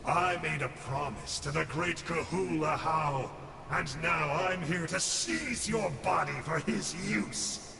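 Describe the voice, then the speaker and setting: Casting: high, gravelly voice for a glib Abyss schemer, distant hall echo in a noisy game capture. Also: male voice, takes it slowly, sharp tone, full character voice. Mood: mocking. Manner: glib, mocking, showy. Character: sly theatrical male Abyss scholar.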